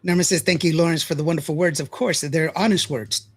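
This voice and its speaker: Radio host guy reading comments, pretty high voice for a man. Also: fairly even intonation, fast talker, crowd noise. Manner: reads listener comments, warm shout-outs. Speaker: podcast host and DJ. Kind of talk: casual interview chat.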